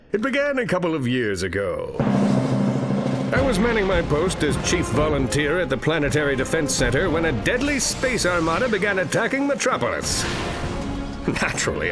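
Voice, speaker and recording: An actor playing a pompous, dim-witted, boastful space superhero in a cartoonish video game, bombastic and goofy. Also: male voice, a little high. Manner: bombastic, self-important, goofy.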